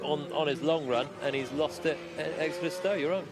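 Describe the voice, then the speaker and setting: A man's voice: a little high, a little fast, noisy background. A man giving conversational live analysis as a co-commentator on a motor racing broadcast.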